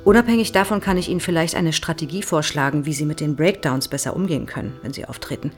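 Low-voiced, eager research psychologist. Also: female voice, fast, soft background music. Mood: curious, reassuring. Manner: polite, explanatory, keenly interested. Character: eager, probing female research psychologist, no longer young. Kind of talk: naturalistic audio drama dialogue.

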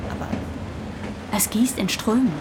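A woman's voice: fairly high pitch, measured pace, natural voice. An actress playing a friendly, slightly shy young woman in a naturalistic radio drama. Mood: concerned. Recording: noisy train sound behind.

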